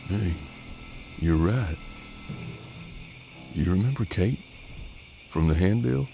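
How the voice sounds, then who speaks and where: A man playing a seasoned, pragmatic bounty hunter in a western audio drama, with measured, folksy frontier talk. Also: low-pitched, animated, creaky, dark tone, faint music behind, exaggerated voice. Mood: grim, urgent. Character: seasoned male bounty hunter detective of 1870s Kansas, steady, pragmatic.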